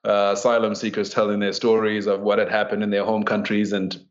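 Halting Zimbabwe-born man, low flat voice. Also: dull audio. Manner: halting, many fillers and restarts. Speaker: guest, ministry executive director. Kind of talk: conversational storytelling.

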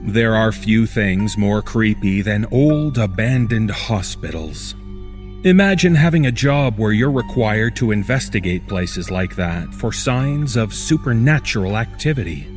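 A man's voice: lowish voice, lively intonation, unhurried. A man delivering scripted horror narration for a storytelling podcast. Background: music.

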